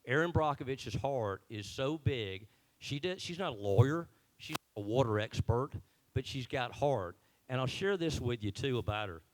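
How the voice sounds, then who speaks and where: A man's voice: mid-range voice, lively intonation, moderate pace. A man introducing a guest at a town hall, earnest and a bit unpolished on stage. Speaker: event organizer introducing the speaker. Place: meeting hall.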